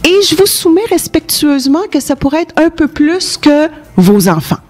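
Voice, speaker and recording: A woman's voice: high voice, big pitch swings, normal speed, a little mellow. A woman with a Québécois French accent giving conversational advice as an interview guest, speaking emphatically to stress key points. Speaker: entrepreneurship consultant, show guest.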